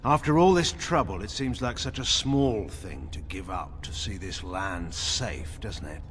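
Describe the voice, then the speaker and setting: An actor playing a proud, stern middle-aged general and father in a fantasy game, speaking in a grave, measured, formal way with dry sarcasm. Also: sits low, a bit bright, a little hiss or hum. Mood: grave, resigned. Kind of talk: dramatic fantasy game dialogue.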